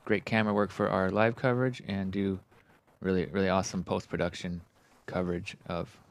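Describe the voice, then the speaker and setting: A man's voice: sits low, flat delivery, brisk, clean tone, no echo. A man giving casual live sports commentary as a co-commentator, speaking in a conversational way.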